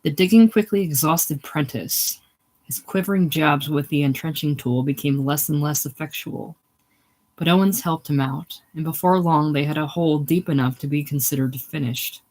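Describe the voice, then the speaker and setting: A man's voice: on the high side, moderate pace, darkish tone. A man reading literary war fiction aloud as an audiobook narrator, now and then stumbling and rereading a phrase. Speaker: audiobook reader.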